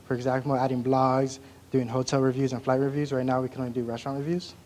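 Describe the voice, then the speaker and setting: A man's voice: mid-range voice, flat delivery, fast, a little mellow, a little hiss or hum. A young man from the United States presenting a class project, casual and candid with some restarts. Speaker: student presenter.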